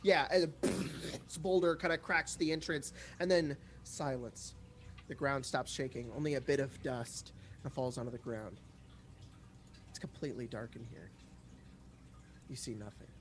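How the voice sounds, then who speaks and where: A man's voice: medium pitch, unhurried, background noise. A man narrating an improvised tabletop game as game master, setting scenes in a dramatic way.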